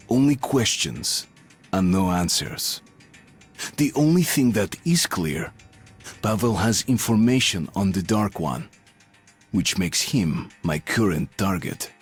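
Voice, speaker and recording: Low-voiced, pensive soldier narrator. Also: male voice. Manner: subdued, reflective. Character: pensive young male soldier, the game's hero, recounting events. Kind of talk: scripted first-person game narration.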